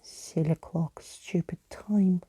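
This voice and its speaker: Woman reading as a sarcastic young girl, blunt, exasperated, storybook hero, husky, mellow. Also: on the low side, fairly even intonation, takes it slowly, no echo, whispered. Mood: annoyed.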